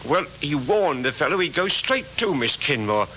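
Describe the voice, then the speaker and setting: Timid old stage doorman, hesitant and deferential, lively higher pitch, dull vintage radio sound. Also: moderate pace. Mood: deferential, earnest. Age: older. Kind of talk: 1950s radio drama acting. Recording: scratchy, dull 1950s radio recording.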